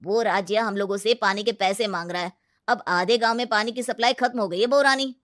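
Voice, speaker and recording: A woman voicing a blunt but respectful male village council elder in a comedy story, earnest and gently mocking. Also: mid-range voice, a little fast, dry recording, studio quality, natural voice.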